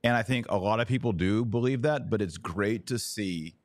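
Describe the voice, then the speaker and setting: A man's voice: fairly low pitch, moderate pace, no room sound, studio quality. A man hosting a podcast, chatting casually and putting questions to a guest. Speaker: podcast host. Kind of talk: casual interview conversation.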